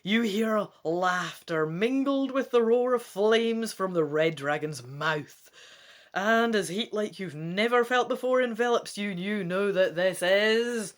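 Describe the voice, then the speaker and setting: A woman presenting a gamebook playthrough, mixing theatrical story reading with wry, chatty asides in an expressive manner. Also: medium pitch, takes it slowly. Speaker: gamebook let's play presenter.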